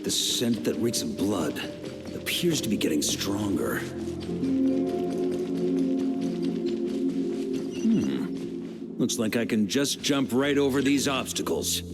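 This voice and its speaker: Seasoned game warrior, low voice, unhurried, wry and composed, musing aloud, music behind. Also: male voice, big pitch swings. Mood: wary, resolute. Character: seasoned male warrior, calm, wry and resolute. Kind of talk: video game character voice acting.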